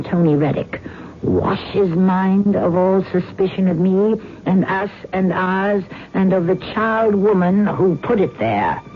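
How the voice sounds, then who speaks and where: A woman's voice: fairly low pitch, creaky. An actress playing a sinister, commanding witch in theatrical old-time radio style, incanting imperiously to her familiar. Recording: muffled old radio broadcast, music behind.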